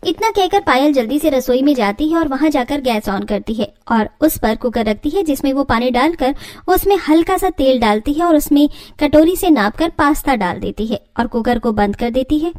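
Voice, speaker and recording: A woman's voice: high-pitched, animated, normal speed, few pauses, darkish tone. A woman delivering scripted storytelling narration as the narrator of a family tale.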